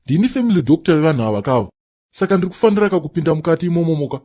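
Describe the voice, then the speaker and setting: A man's voice: fairly high pitch, lively intonation, very fast, dark tone. A man playing a self-important prophet and faith healer in a comedy cartoon, pompous and wheedling.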